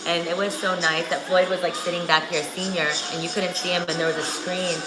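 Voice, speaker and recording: Woman, chatty boxing stream host, lowish voice, echoey room, loud crowd behind. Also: brisk, background noise. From United States. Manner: chatty, excitable, talks back to viewers. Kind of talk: casual live-stream chat over a fight broadcast. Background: televised boxing commentary and crowd.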